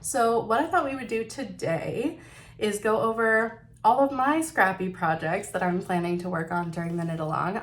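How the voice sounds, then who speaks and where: A woman with a stuffy, nasal voice from a cold hosts a knitting show, talking through her projects in an easygoing, chatty way. Her pitch sits in an ordinary middle range, and there is a faint hiss of noise behind her. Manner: chatty and upbeat, with fillers and slips. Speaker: knitting podcast host. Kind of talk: casual chat about knitting projects.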